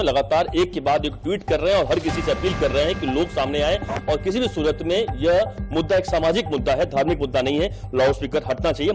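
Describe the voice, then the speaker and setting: A man working as a news reporter, introducing and questioning an interviewee. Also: on the high side.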